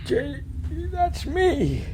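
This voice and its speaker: A man's voice: extremely high pitch, huge pitch swings, extremely slow, background noise, heavily acted. An actor playing a lonely old man stranded on Mars in a radio drama, agitated and stammering, his voice cracked and quavering. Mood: distressed. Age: older. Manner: agitated, stammering, muttering to himself.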